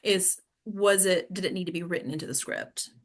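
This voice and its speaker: A woman's voice: lowish voice, normal speed. A woman hosting a casual discussion among actors, speaking in a thoughtful, conversational way and checking in with the others. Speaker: host of an acting discussion.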